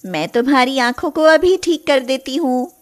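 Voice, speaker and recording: A woman voicing a tiny, playful, kind magical genie girl in an animated fairy tale, with a reassuring manner. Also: high voice, normal speed, noisy background, voice pushed up. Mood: kindly.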